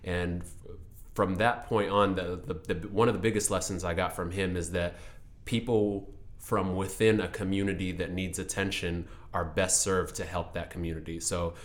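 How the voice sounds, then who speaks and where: A man's voice: low voice, steady tone, normal speed. A young man raised in Seattle in an Ethiopian family, giving thoughtful, explanatory answers as an interview guest, often restarting his sentences. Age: young adult.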